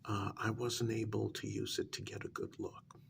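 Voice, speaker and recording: A man acts out a humble, polite working man in a soft-spoken ASMR role-play. His voice is lowish and gravelly, often sinking to a near whisper. He speaks haltingly and deferentially, as if to a boss, over faint background noise. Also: level tone. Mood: careful, explaining. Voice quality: soft, breathy, partly whispered.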